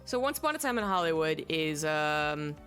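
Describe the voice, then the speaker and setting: A woman's voice: low voice, very animated, normal speed, fairly bright tone, faint music behind. A young adult woman casually reviewing films, rambling and stopping to search aloud for names. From native English speaker. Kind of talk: casual film review chat.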